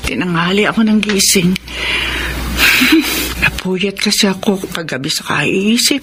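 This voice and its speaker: Gentle, sentimental older mother-in-law played by an actress, radio drama, unhurried and tender, music behind. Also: medium pitch, lightly acted. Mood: wistful, surprised. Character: warm elderly mother-in-law, gentle, sentimental, easily moved. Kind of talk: Filipino radio drama acting.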